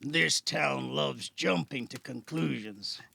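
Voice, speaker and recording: Casting: male actor for a gruff older healer in an audio drama, very gravelly and forceful, guarded but kindly. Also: medium pitch, takes it slowly, full character voice. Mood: weary, hospitable. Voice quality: gravelly, creaky. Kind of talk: amateur audio drama acting. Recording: faint background noise.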